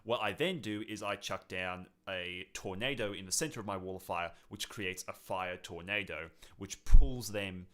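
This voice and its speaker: A man's voice: low-pitched, normal speed, few pauses, a bit bright. A man co-hosting a podcast, chatting casually about video games in a rambling way that often doubles back on itself. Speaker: podcast co-host.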